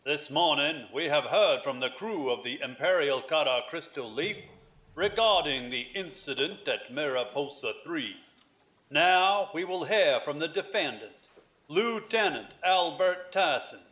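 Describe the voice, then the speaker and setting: An actor playing a stern, ceremonious commodore presiding over a military tribunal in a science fiction audio drama, formal and authoritative. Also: male voice, on the high side, animated, unhurried, fairly bright tone. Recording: roomy, slightly dull sound.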